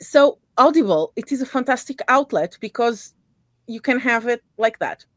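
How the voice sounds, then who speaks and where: A woman hosting an interview, speaking English as a second language in a halting way, often repeating words as she searches for them. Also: fairly high pitch, animated, fairly bright tone, no echo. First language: not English. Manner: halting, repeats words, non-native phrasing. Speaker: interview host. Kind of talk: casual interview chat.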